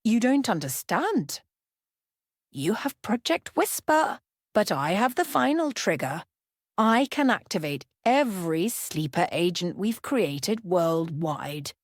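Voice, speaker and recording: Woman playing a slow, creaky-voiced cold villainess. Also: medium pitch, very animated, no echo, studio quality, full character voice. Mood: taunting threat. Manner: condescending, deliberate. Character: cold, menacing female villain, shadowy cartel leader. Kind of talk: audio drama thriller dialogue.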